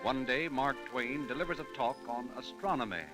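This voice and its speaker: An actor as a solemn narrator, reading scripted storytelling for an old-time radio drama. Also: male voice, mid-range voice, normal speed, over music, lightly acted. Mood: reverent.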